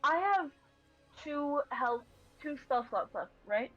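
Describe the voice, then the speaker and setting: A woman's voice: sits high, lively intonation, slow, a bit bright. Woman player at a game table, unsure and asking stuff, muffled mic, faint music. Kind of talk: casual game-table chat.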